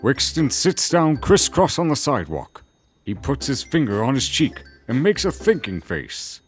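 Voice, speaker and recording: A man's voice: medium pitch, very animated, measured pace. A man narrating a scripted story as a dramatic narrator. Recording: music behind the voice. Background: clear background music.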